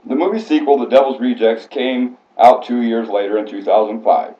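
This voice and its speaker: A man's voice: medium pitch, moderate pace, warm tone. A man reading a prepared biographical speech aloud in a formal, scripted way, stumbling now and then. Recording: echoey room, slightly muffled sound.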